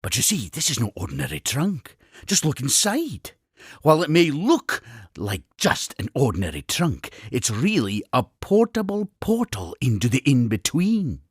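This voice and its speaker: A man's voice: medium pitch, huge pitch swings, normal speed, breathy edge, no room sound, clean studio sound. A man voicing an eccentric, boastful explorer and inventor creature in a video game, with a Scottish accent and a theatrical salesman's manner. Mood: persuasive, eager. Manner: theatrical, showman-like, chuckling salesman patter. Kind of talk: scripted video game character speech.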